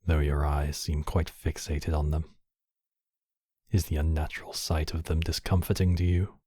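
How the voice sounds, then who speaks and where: Casting: male actor as demon king, extremely low husky register, possessive yet compassionate, regal formal delivery for ASMR roleplay. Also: little pitch movement, warm tone, dry recording, studio quality. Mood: gentle, courteous. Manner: slow, regal, formal phrasing. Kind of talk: intimate ASMR roleplay acting.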